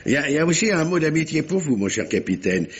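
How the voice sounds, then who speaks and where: Jovial, lively old magistrate. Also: male voice, fairly high pitch, fast, slightly rough. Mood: chatty, cheerful. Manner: genial, exclamatory, fussy. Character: jovial, chatty older examining magistrate, an uncle. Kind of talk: vintage French radio mystery drama acting. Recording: dull old lo-fi radio recording.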